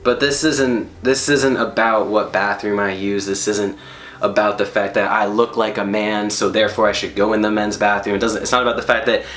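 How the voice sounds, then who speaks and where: A man telling a personal story to an audience, animated and emphatic, laughing as he talks. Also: lowish voice, normal speed. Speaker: storyteller on stage. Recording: roomy hall echo.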